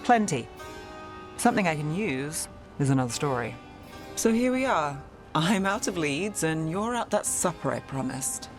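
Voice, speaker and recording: An actress playing a dry, weary, streetwise investigator with a laconic, deadpan delivery in a fantasy video game. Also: female voice, sits low, moderate pace. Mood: tired, frustrated. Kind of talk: naturalistic video game acting. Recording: game music behind the voice.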